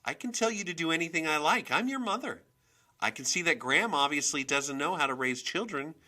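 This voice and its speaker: A man voicing a volatile, domineering mother in a storybook reading, with a sharp, scolding, emphatic manner. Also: on the high side, animated, a little fast, pitched above the performer's own voice. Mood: angry, bossy.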